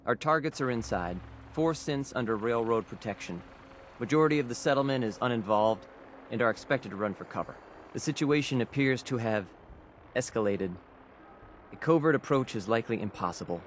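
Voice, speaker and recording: A man's voice: mid-range voice, animated, fairly quick, a little hiss or hum, lightly acted. An actor playing a clinical, emotionless male synth hunter in a video game, speaking in clipped, formal, businesslike phrases. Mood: cold, businesslike. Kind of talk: video game cutscene acting.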